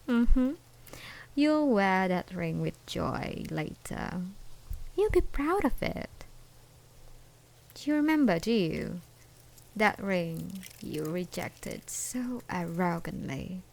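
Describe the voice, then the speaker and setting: Woman acting a slow, creaky obsessive ex-girlfriend. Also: lowish voice, lively intonation, heavily acted, low-quality recording. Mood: wounded, threatening. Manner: slow, menacing, drawn-out taunting with dark chuckles and whispers. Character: obsessive, menacing jilted ex-girlfriend hunting her beloved. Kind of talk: ASMR roleplay, intimate dramatic monologue.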